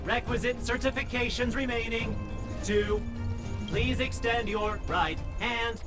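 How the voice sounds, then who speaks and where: Very high-pitched polite male robot. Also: a little slow, over music. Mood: neutral, procedural. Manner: formal, procedural. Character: polite, emotionless quizmaster robot. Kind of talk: video game voice acting.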